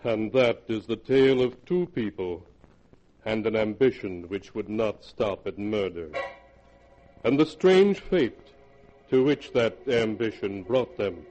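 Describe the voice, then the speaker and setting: An actor playing a solemn, portentous male narrator of dark tales in 1940s radio horror style. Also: on the low side, expressive, measured pace, muffled recording, soft background music. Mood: ominous. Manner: portentous, theatrical.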